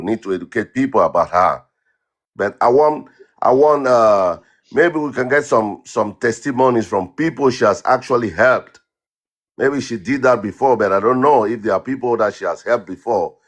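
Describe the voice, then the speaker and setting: A man from Cameroon, a guest on a livestream, telling his story in a halting way with repeated words and many fillers. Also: medium pitch. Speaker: guest caller. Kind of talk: casual account on a livestream.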